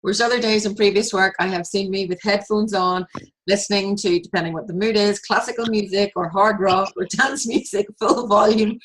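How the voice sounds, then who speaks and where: A woman's voice: medium pitch, fairly even intonation, brisk, low-quality recording. A woman hosting an interview podcast, chatting in a loose, conversational way with frequent restarts. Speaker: podcast host.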